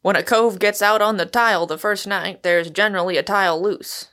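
A woman's voice: medium pitch, fairly even intonation, a little fast, a bit bright, natural voice. A woman voicing a cheeky, jovial working-class Cockney man in a storybook, breezy, blunt and slangy. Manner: breezy, slangy, cheerfully mocking.

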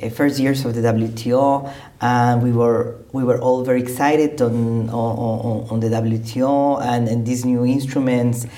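Hesitant Costa Rican diplomat, mellow voice. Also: male voice, medium pitch, steady tone, measured pace. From Costa Rica. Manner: hesitant, many restarts and fillers. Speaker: trade diplomat, interview guest. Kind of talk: conversational interview.

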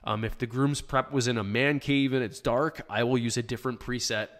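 A man hosting a live podcast, answering listener questions in a plainspoken, chatty way with plenty of ums. His voice is hoarse from shouting. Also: medium pitch, steady tone, a little fast. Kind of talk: casual live podcast chat. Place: small home studio.

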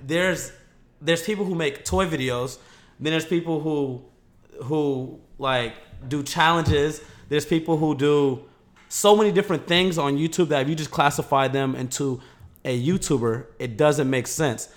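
A man's voice: a little high, a little slow. Laid-back slangy young man. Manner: laid-back, slangy, swears freely, bursts loud when hyped. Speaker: podcast guest. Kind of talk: casual chat.

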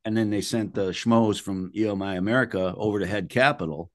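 A man's voice: fairly low pitch, a little fast, no room sound, clean studio sound. An older man, a musician being interviewed, telling anecdotes in a casual, blunt, salty and colloquial way.

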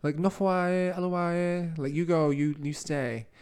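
A man's voice: a little high, fairly even intonation, slow, darkish tone, smooth. A man from Tonga co-hosting a podcast in casual chat, with a playful, campy, chatty manner. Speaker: podcast co-host.

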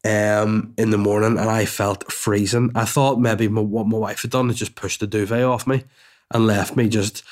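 A man with a stuffy, congested voice, telling a rambling, chatty personal story at home as a podcast host, with swearing and asides. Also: fairly low pitch. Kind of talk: casual storytelling monologue.

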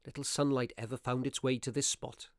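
A man acting as a curious, courteous Victorian gentleman who narrates a ghost story in a radio play, with theatrical, deliberate phrasing. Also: mid-range voice, little pitch movement, no room sound, clean studio sound, lightly acted. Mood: grave, atmospheric. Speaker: narrator of an audio drama.

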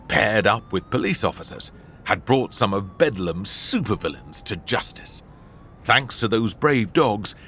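A man narrating a children's adventure audiobook in an expressive, theatrical way into a studio microphone. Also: lowish voice, a little hiss or hum. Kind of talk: scripted storybook narration.

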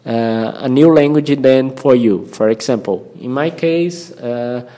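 A man with a Brazilian Portuguese accent speaks in a soft-edged, relaxed tone at a slow pace. He hosts an informal language lesson in hesitant English, often searching for words and repeating phrases as he goes. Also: medium pitch. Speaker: language-learning podcast host.

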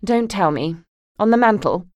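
A woman's voice: mid-range voice, lively intonation, moderate pace, dry recording, pitched above the performer's own voice, close to an everyday voice. A woman voicing a sweetly malicious middle-aged mother, cutting and sugary. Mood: sweetly mocking.

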